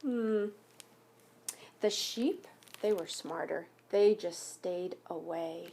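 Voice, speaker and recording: A woman reading a picture book aloud to children like a school teacher, pausing to explain things and ask questions. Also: fairly low pitch, mellow, a little hiss or hum. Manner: instructive, asks listeners questions. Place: home basement by a fire.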